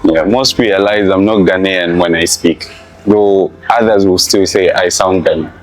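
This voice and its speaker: Young adult male, deep register, Zambian accent, casual student interviewee. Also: fairly even intonation, measured pace, poor audio.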